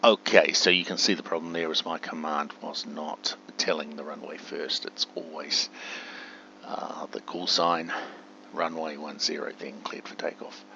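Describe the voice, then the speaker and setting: A man's voice: rumbling low, level tone, unhurried, gravelly, pushed and bright. A man giving casual, chatty gameplay commentary on a simulator, thinking aloud, in a dull home recording. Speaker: gamer narrating a simulator playthrough. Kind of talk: casual gameplay commentary with spoken radio commands. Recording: dull, muffled home recording with faint hum.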